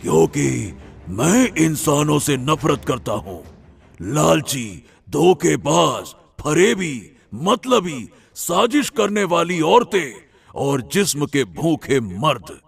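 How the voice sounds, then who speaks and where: Demon gatekeeper: gruff human-hater for a cartoon, creaky growl, unhurried menace. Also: male voice, on the high side, very animated, soft background music, exaggerated voice. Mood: scornful. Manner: menacing, declamatory. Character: gruff, human-hating demon guardian of a magic garden.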